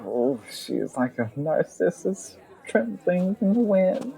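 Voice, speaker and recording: A man's voice: sits high, very animated, takes it slowly, vocal fry, dark tone, exaggerated voice, low-quality recording. A man playing a dreamy, lovestruck admirer of a princess in an audio drama, speaking poetically. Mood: dreamy adoration.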